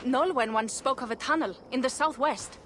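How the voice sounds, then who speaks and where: Grave, high-voiced war ally. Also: female voice, normal speed, fairly bright tone, slight background noise. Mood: grave, businesslike. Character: grave, commanding female war ally reporting on battle. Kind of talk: dramatic video game acting.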